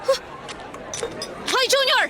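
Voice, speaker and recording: A woman playing a fiery, scolding young mother with a Filipino accent in a radio drama, firing off accusing questions. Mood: suspicious, indignant. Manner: shrill nagging, rapid accusing questions. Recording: music and crowd noise behind.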